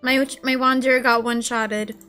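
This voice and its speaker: A woman's voice: a little high, level tone, normal speed, sharp tone, faint music behind. A woman giving casual live reaction commentary as a game streamer.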